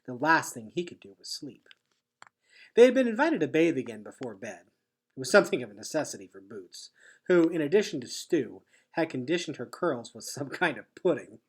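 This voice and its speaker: A man reads a storybook aloud in an expressive, teacherly way. His voice is husky and sits at a middle pitch, swinging up and down as he stresses phrases. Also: brisk. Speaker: teacher reading a chapter aloud. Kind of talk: read-aloud storybook narration.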